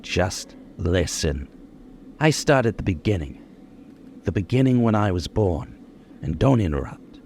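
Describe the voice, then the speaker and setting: A man's voice: sits low, very animated, unhurried, long pauses, darkish tone, a little hiss or hum, exaggerated voice. An actor in an old-time radio crime drama playing a cocky, bitter gunman with menacing, taunting, slangy tough talk. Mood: taunting, smug. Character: cocky bitter gunman confessing his life story.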